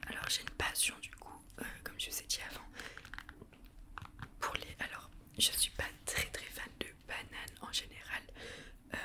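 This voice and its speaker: A woman's voice: normal speed, bright, forceful tone. A young woman whispering a casual grocery haul for ASMR, chatty and self-mocking with plenty of hesitations. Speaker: ASMR vlogger.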